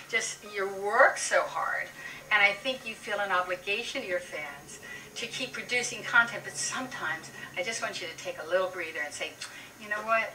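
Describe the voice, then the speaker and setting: Woman psychic, bright tone, earnest and emphatic, heard from afar over music. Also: medium pitch. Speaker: psychic reader. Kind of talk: giving a reading. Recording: voice from a distance, music behind.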